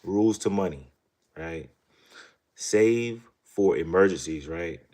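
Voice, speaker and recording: A man with an extremely low, deep voice talks casually to his viewers. He rambles through a story and then money rules, and tags almost every thought with "right?". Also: expressive, slow pace, mellow. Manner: rambling, keeps asking "right?". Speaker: channel host.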